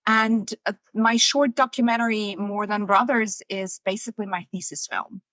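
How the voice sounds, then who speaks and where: A woman's voice: medium pitch, fairly even intonation, normal speed, a bit bright, no room sound, low-quality recording. A woman in her thirties from Switzerland, a documentary filmmaker talking earnestly and repeating words as she thinks. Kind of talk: casual interview chat.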